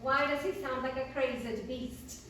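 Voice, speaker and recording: High-voiced skeptical woman, very echoey. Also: fairly even intonation, unhurried, a bit bright. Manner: dry, exasperated, humouring. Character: skeptical, teasing female friend of a playwright. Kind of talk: theatrical comic dialogue. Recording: roomy echo, distant mic, faint noise.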